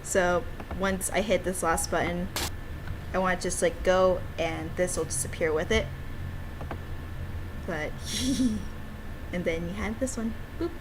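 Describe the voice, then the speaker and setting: A woman's voice: on the low side, steady tone, unhurried, pauses often, background noise. A woman giving casual, playful and giggly commentary as she plays a game she made herself. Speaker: game developer playing her own game.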